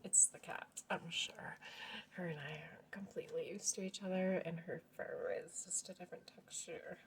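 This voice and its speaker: A woman in her forties vlogging casually at home, speaking in a hushed, half-whispered voice and rambling as she loses her words and laughs. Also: fairly low pitch, steady tone, normal speed. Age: 40s. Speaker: family vlogger. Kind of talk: casual rambling vlog chat.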